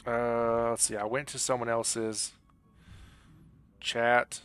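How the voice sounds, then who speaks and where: A man's voice: medium pitch, little pitch movement, slow pace, a bit bright, faint music behind. A man live-streaming a video game, chatting casually with his viewers in a rambling, joking, apologetic way. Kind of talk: casual chat with stream viewers while gaming. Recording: clearly audible background noise.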